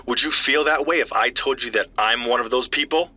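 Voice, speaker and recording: A man's voice: medium pitch, fairly quick, sharp tone, muffled recording. An actor playing a worried man who calls his friend and urgently pleads with him to stop. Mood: earnest. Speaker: caller. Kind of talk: audio drama acting.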